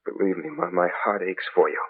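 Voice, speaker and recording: An actor playing an earnest, polite husband investigating a haunted house in a 1940s radio drama, on a tinny old recording. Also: male voice, low-pitched, steady tone, fairly quick, husky. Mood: sympathetic.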